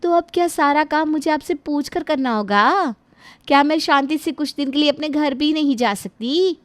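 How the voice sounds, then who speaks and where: A woman voicing a rude, sharp-tongued young daughter-in-law who snaps scornfully at her mother-in-law. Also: high-pitched, moderate pace, dry recording, pitched above the performer's own voice, close to an everyday voice. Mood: snappy. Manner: snappy, scornful, lively.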